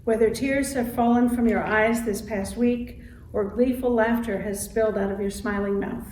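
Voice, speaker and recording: A woman reading a scripted church welcome and liturgy in a measured, solemn way as worship leader. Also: on the high side, fairly even intonation, a little mellow. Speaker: congregation president and guest worship leader. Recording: roomy, distant mic with faint noise.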